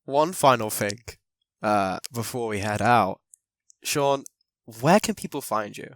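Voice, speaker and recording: A man's voice: on the low side, expressive, takes it slowly, a bit bright, no echo. A man hosting a podcast interview in a chatty way, laughing often and using lots of fillers. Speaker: podcast host. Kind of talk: casual interview chat.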